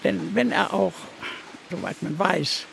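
An actor playing a brooding, bitter man telling of a betrayed friend in a literary radio play, with deliberate, drawn-out emphasis. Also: sits high, gravelly, noisy background, exaggerated voice. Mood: brooding, baffled.

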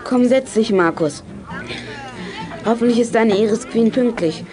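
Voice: medium pitch, a little slow, over music, crowd noise, close to an everyday voice. A performer playing a decisive, cheeky child who leads young detectives in a children's radio play. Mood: conspiratorial. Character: bossy, self-assured child leader of young detectives.

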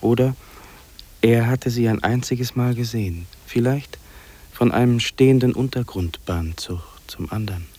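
An actor playing a lovesick young city man who muses hesitantly to himself in hushed inner monologue in a radio drama. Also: mid-range voice, normal speed, natural voice. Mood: brooding.

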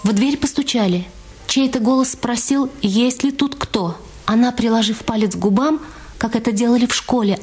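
A woman's voice: fairly high pitch, a little mellow, husky. A woman reading scripted literary narration for a dramatised radio production. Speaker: narrator and reader in a radio play. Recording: music under the voice. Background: music.

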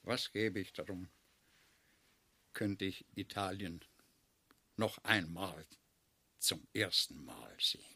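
An actor playing a courteous, wistful old general of 68 in a German radio play, speaking in a measured, gentlemanly way. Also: male voice, low voice, lively intonation, gravelly, slightly rough, no echo, heavily acted.

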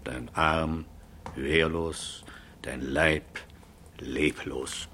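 Effusive, deep-voiced castle lord. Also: male voice, steady tone, very slow, pushed and bright, full character voice. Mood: relishing, ominous. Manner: effusive, courtly, with knowing little hums and chuckles. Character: genteel English castle lord, chummy, business-minded, relishing his family curse. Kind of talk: 1970s German radio crime drama acting. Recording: 1970s radio production with faint background noise.

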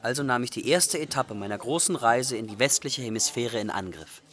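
Mid-pitched wry young refugee narrator played by a man. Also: fairly quick, a bit bright, lightly acted. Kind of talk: scripted first-person radio play narration.

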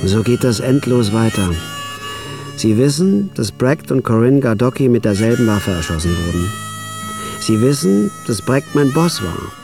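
A man's voice: mid-range voice, lively intonation, normal speed, vocal fry, music in the background. An actor playing a wry, nervous hitman who narrates his own story dryly in a radio drama. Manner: dry, understated first-person narration.

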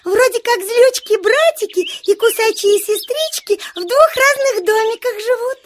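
A woman playing a naive, whiny little hare boy in a children's audio play, with drawn-out, wide-eyed childlike delivery. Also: very high voice, lively intonation, slight background noise. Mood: curious.

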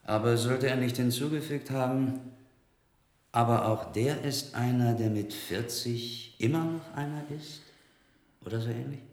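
An actor playing a suspicious, mocking police inspector who speaks tersely in a radio crime drama. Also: male voice, medium pitch, measured pace, darkish tone, reverberant, lightly acted. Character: suspicious, needling male police inspector, gruffly disdainful.

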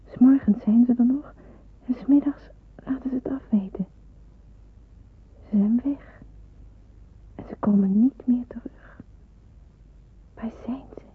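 A woman's voice: fairly high pitch, husky. A woman acting an eager, deferential teenage girl who wants to be a nurse, in an old radio play, sounding meek and hesitant. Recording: muffled narrow-band old radio recording.

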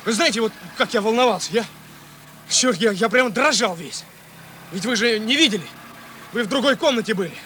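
An excitable young detective in a radio drama. His voice is high and bright, full of naive, boastful eagerness, and it gushes in halting phrases. Engine noise hums faintly behind. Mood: excited, proud. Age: young adult. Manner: gushing, breathlessly enthusiastic, halting. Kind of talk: radio play acting.

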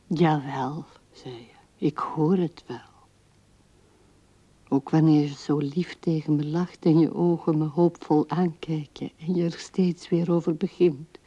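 Soft, low old widow. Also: female voice, steady tone, dry recording. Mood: tender, grieving. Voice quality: hushed, soft, often dropping to whisper. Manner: musing, halting, intimate. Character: old widow musing tenderly at husband's grave. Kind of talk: radio drama monologue. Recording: dull old radio recording.

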